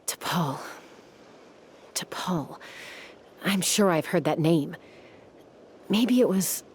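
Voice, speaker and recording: A woman's voice: lowish voice, expressive, drawn-out words, a little mellow, a little hiss or hum. A woman voicing a tough, cool-headed, protective young gunslinger in a video game, speaking firmly and directly with a dry edge. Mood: puzzled.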